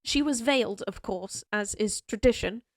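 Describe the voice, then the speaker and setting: A woman's voice: mid-range voice, moderate pace, dry recording, studio quality, close to an everyday voice. A woman voicing a proud, headstrong young lady knight in a fantasy audio roleplay, with spirited, formal speech. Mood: teasing, defiant. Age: young adult.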